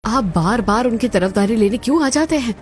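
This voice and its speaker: A woman's voice: fairly high pitch, expressive, a little fast, faint music behind, natural voice. A woman voicing a stern older mother-in-law in a cartoon family drama, with emphatic, scolding delivery. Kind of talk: Hindi cartoon moral-story voice acting.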